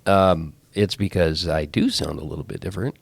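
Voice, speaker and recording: Low-voiced congested man hosting a podcast. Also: moderate pace, gravelly, dry recording. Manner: rambling, self-correcting. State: congested head cold. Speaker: podcast host. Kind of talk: casual talk.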